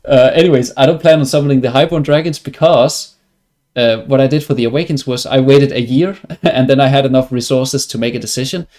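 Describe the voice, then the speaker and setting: A man's voice: medium pitch, a little fast, darkish tone. Danish-accented man, casual game streamer. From Denmark. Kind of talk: casual livestream commentary over gameplay.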